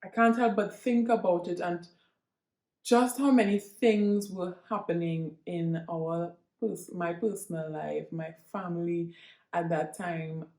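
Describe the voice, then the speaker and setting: A Trinidadian woman talks straight to her viewers in a slow, earnest, reflective way. She often stops and repeats herself as she works out what to say. Her voice carries some echo from the room. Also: lowish voice, steady tone, darkish tone. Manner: earnest, halting, with frequent restarts and repeats. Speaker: vlogger. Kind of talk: reflective personal monologue to viewers. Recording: echoey room.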